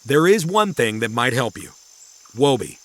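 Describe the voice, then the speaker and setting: A secretive inventor professor in a children's audio drama, acted by a man. He talks in a measured, cryptic way with a mid-range voice that rises and falls expressively, lending everything an ominous weight. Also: medium pitch, very animated, natural voice. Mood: measured, intrigued. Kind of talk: kids' audio drama acting.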